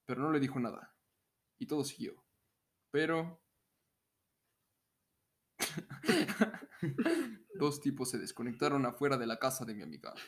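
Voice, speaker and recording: A man's voice: mid-range voice, big pitch swings, a little slow, long pauses. A young Mexican man with a mid-range voice co-hosts a podcast in a casual, comedic way. He is jokey, and laughter breaks into his talk easily. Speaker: podcast co-host. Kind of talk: casual comedic chat and story reading.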